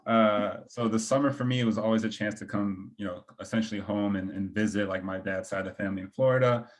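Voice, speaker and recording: A man speaks casually as a panelist, in a slightly low voice. His delivery is informal and meandering, with a self-deprecating streak. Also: fairly even intonation, quick pace. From Belgium.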